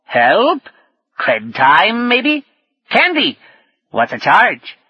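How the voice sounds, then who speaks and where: Squeaky, excitable little tribe kid. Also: male voice, very slow, breathy edge, dry recording, lo-fi sound, exaggerated voice. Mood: excitable, probing. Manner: clipped broken kid-speak, exclamatory. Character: childish little reptile-clad tribe kid, excitable, speaking broken slang.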